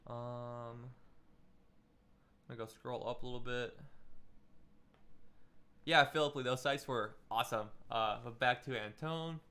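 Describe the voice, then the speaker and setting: A man's voice: medium pitch, sharp tone. A man running a casual livestream feedback session as a music production instructor, talking loosely with lots of "like" and "um" and giving encouraging advice. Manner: loose and chatty, full of "like" and "um" fillers; encouraging, gives friendly advice.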